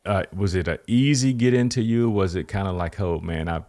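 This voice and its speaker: A podcast host with a fairly low voice who rambles, restarting his sentences and padding them with fillers. He sounds keen and easygoing as he sets up his questions. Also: fairly quick, a little mellow. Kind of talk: casual interview.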